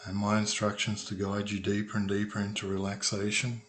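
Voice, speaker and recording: A man leading a guided meditation as a calm, unhurried instructor, leaving long pauses between phrases. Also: on the low side. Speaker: meditation guide, sound healer. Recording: noisy recording with ringing tuning forks. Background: humming tuning forks.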